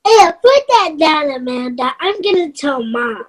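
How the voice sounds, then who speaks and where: A woman's voice: high voice, very animated, measured pace. A woman playing a rowdy six-year-old girl in a screenplay table read. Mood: anxious.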